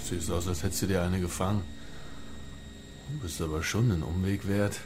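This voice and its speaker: An actor playing a brash, sly visitor with a blunt, bossy and flirtatious manner in a radio drama. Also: male voice, deep voice, fairly even intonation, moderate pace, over music, close to an everyday voice. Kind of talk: German radio drama acting.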